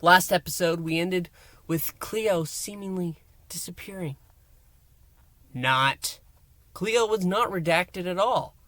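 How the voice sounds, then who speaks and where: A man with a somewhat high voice presents a review to his listeners. He talks enthusiastically and emphatically, pausing for dramatic weight. The sound is dry and close, with little room echo. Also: sharp tone. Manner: theatrical, emphatic, with dramatic pauses. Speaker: host, reviewer. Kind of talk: enthusiastic scripted-style recap and review to listeners.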